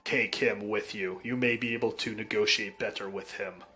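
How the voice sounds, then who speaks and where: A man voicing a stern, guarded fantasy war leader with a deliberate, commanding manner. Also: on the low side, fairly even intonation, bright, forceful tone, faint music behind, voice pushed down.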